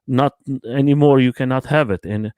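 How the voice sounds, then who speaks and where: Hesitant man from Portugal, halting English. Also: mid-range voice, a little mellow, smooth, dry recording. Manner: halting, searching for words, many fillers. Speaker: guest. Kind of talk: casual live stream chat.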